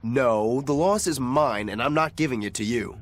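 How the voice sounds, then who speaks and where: A man's voice: mid-range voice, moderate pace, a little hiss or hum, natural voice. A man playing a laid-back but stubborn character in an anime-style video game.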